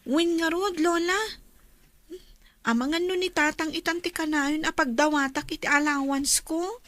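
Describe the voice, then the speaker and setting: A woman's voice: sits high, fairly even intonation, normal speed, a bit bright, dry recording. A woman acting in an Ilocano radio drama as a concerned young daughter from a troubled home. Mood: plaintive, resigned.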